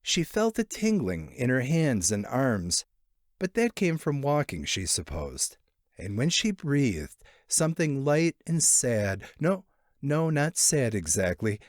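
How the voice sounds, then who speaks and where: Man reading a story, animated pitch, dry sound. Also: unhurried, no room sound. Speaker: narrator, short story reader. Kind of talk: expressive literary audiobook narration.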